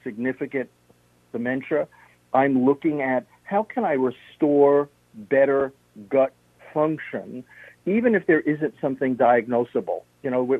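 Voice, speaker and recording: Male guest, instructive and methodical, husky tone on a narrow phone line, dry. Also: mid-range voice, no room sound. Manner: steady, instructive, lists points one by one. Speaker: health practitioner guest. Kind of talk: radio interview, explaining health tips.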